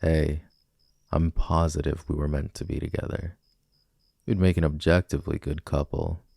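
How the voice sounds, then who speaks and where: A man acts out a smug villain wooing a civilian in a romantic ASMR roleplay. His voice is extremely deep and mellow, with a gravelly fry. He teases and persuades in a casual, cocky way, close to the mic. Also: flat delivery, normal speed, no room sound, full character voice. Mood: smug teasing. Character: flirty, cocky male villain smitten with a civilian.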